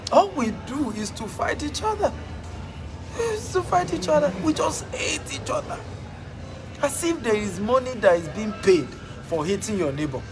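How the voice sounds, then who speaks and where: Emphatic Nigerian man, high voice, music underneath. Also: rough recording. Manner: emphatic, repeats phrases for effect. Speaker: motivational speaker. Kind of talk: motivational talk.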